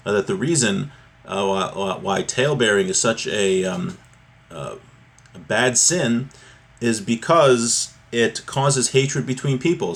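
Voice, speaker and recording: A man teaching an informal religious lesson, reading from a text and explaining it in a halting, repetitive, conversational way. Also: mid-range voice.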